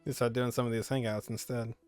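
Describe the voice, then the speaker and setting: A man hosting a gaming video, giving casual, laid-back commentary in halting, repetitive phrases. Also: medium pitch, little pitch movement, a little mellow, smooth, soft background music. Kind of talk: casual let's-play commentary.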